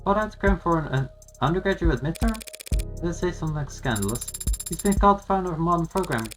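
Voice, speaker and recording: A man's voice: fairly high pitch, expressive, fast talker, voice pushed up, close to an everyday voice. A man voicing a polite, curious AI assistant in a game, with formal, inquisitive speech. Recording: music behind the voice.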